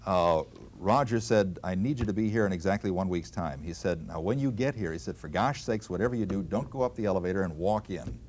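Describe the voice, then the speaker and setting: An older man with a fairly low voice reminisces like a practised storyteller. He talks quickly and acts out both sides of old exchanges. There is some noise behind him. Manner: animated storyteller acting out quoted exchanges. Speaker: former radio announcer. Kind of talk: reminiscing, anecdotal casual chat.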